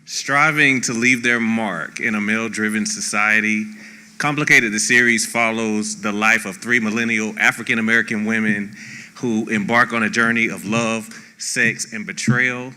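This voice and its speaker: A man's voice: lowish voice, steady tone, normal speed, sharp tone, clear, resonant tone. A man reading a scripted nominee introduction as an awards presenter. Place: theatre stage at awards ceremony. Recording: long hall reverb.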